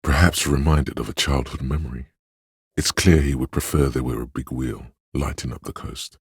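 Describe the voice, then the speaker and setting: An actor playing a grave, compassionate inner voice of empathy in a game, narrating in a measured, solemn and tender way. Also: male voice, a bass voice, fairly even intonation, husky, dry recording, studio quality, heavily acted. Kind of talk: dramatic game narration, second person.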